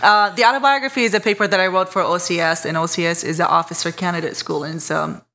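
Woman from iraq, podcast guest, candid storyteller, lower pitch, bright tone. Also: fairly even intonation, quick pace. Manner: warm, candid, laughs at herself. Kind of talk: casual interview storytelling.

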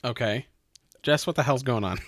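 A man's voice: medium pitch, normal speed, no echo. A man playing a tabletop role-playing game, chatting casually with slangy, sweary informality.

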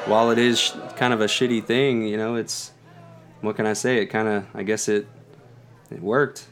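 A man's voice: on the low side, flat delivery, normal speed. Male contestant, hesitant and hedging; noisy video-call line. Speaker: game show contestant. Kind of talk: casual chat.

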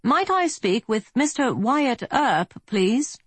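A woman's voice: fairly high pitch, big pitch swings, a bit bright, slightly rough, dry recording, pitched above the performer's own voice, full character voice. A woman voicing a polished, well-to-do British man with a pleasant tenor, speaking formally and evenly. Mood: courteous. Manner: measured, formal, slightly rushed. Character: polished upper-class British man, even-toned negotiator.

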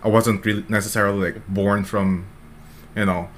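A man from the Philippines hosts a relaxed online streamer podcast, his voice sitting a little low. He wanders through long questions, padding them with fillers and restarts. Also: moderate pace, slight background noise. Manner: rambling, lots of filler words. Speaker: podcast host. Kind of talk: casual chat.

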